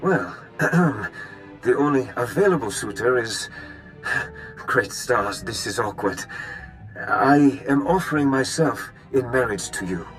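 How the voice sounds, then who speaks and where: Courtly count guy, very formal and sincere, medium pitch, video game cutscene with soft music. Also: animated, takes it slowly, rough recording. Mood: awkward, apologetic. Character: earnest, courtly nobleman count, idealistic and formal. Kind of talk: video game dialogue acting.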